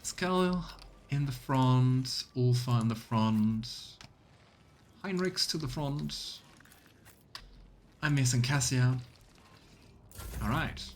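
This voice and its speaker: Male gaming streamer, average pitch, relaxed thinking-aloud commentary, faint ambience behind. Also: a little hiss or hum. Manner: thinks aloud, reads item text in quick bursts. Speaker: let's play streamer. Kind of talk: casual gameplay commentary.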